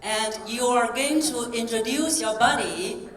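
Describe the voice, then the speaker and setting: A woman voice coach giving an energetic keynote talk, coaxing the audience through exercises on stage. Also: on the high side, unhurried. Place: large conference hall on stage. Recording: roomy hall, distant mic, faint ambience.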